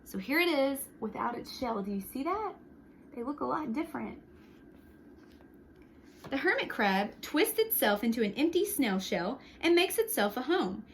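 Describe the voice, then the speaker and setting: Female storytime reader, high and very animated, expressive picture-book delivery, faint noise behind the voice. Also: normal speed. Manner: expressive, with hushed whispered sound words and asides. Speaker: storytime reader for young children. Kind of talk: read-aloud picture book narration with short asides.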